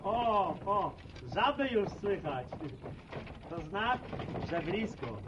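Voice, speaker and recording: Radio serial: wry country cart driver, very high voice, unhurried homespun teasing over noisy animal ambience. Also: male voice, big pitch swings, highs cut off, full character voice. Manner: folksy, teasing, talks in homespun sayings. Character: wry village farmer and horse-cart driver, folksy sceptic. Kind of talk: radio drama acting.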